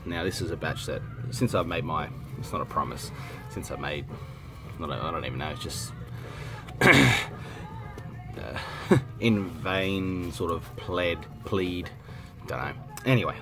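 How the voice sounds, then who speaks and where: An Australian man with a blocked, cold-stuffed voice, chatting casually and rambling, often stopping to clear his throat and correct himself. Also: low voice. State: congested with a head cold. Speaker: vinyl collecting vlogger. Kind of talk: casual rambling chat to camera. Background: music playing softly.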